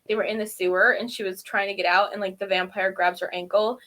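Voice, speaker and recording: A woman co-hosting a review show, casually recapping and commenting in an informal, animated way. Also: medium pitch, fast, poor audio. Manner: informal, animated retelling with acted-out quotes. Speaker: co-host of a TV episode review show.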